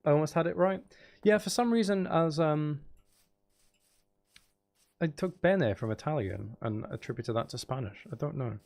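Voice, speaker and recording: A man's voice: fairly high pitch, expressive, moderate pace, creaky, pauses often, darkish tone. A man hosting a casual gaming livestream, chatting in a playful, teasing, rambling way and sounding a little tired. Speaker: livestream host.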